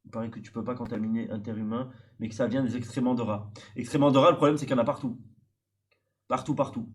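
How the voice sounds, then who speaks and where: A man from France with a mid-range voice explains things at length in relaxed chat. He circles back and stumbles over his words, filling gaps with hesitations. He sounds a bit older than the woman he talks with. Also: mid-range voice, quick pace. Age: adult older than the host. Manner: rambling explainer, many hesitations. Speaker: stream guest. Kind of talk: casual chat.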